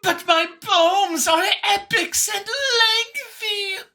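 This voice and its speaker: A man voicing a childish, demanding alien blob who fancies itself a poet, whiny and melodramatic in a shrill wail. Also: bright, forceful tone, heavily acted. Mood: wailing protest. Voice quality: shrill, wailing. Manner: whiny, melodramatic drawn-out delivery.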